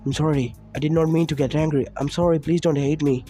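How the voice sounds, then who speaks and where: A man's voice: moderate pace, mellow, faint music behind, close to an everyday voice. A man voicing a young boy in a read-aloud fan story, raising his voice to sound childlike and tearful. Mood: pleading. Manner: higher, childlike, tearful begging. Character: young boy, emotional and pleading. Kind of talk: fan fiction reading.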